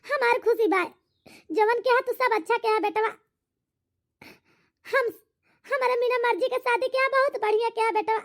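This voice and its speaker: Someone voicing a very high-pitched, plaintive elderly village mother-in-law. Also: gravelly. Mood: approving. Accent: Awadhi. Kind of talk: cartoon comedy acting.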